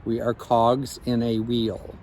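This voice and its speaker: A man, a life coach, speaking slowly and reflectively in an evening vlog recorded outdoors on a phone. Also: mid-range voice, completely flat delivery, a little mellow, slight background noise. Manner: slow, measured, reflective.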